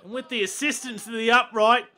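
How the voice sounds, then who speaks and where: A high-pitched Australian man calls football highlights in a very bright, sharp voice. He keeps a steady commentary flow and jumps up in energy when the ball nears the goal. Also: brisk. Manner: excitable bursts at chances and goals. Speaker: match commentator.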